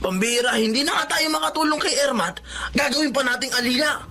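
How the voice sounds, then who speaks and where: Indignant blunt filipino brother, super high bright voice, drama acting. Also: male voice, a little fast, full character voice.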